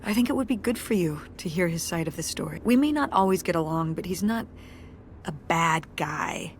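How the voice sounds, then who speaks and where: A woman's voice: fairly low pitch, expressive, moderate pace, a little hiss or hum. An actress playing a wry, tired middle-aged mother who runs a community theater, speaking with a dry, self-deprecating humor in naturalistic game dialogue. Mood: earnest.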